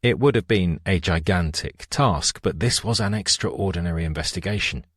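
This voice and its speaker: A man with a low voice reads nonfiction aloud at a steady, measured pace. His narration is even and careful. The recording is dry and close, with no room sound. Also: husky, dry recording. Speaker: audiobook narrator.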